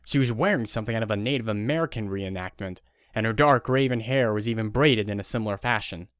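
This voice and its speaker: A man's voice: fairly low pitch, fast, no room sound, studio quality. A man reading scripted narration for an audio drama. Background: guitar music.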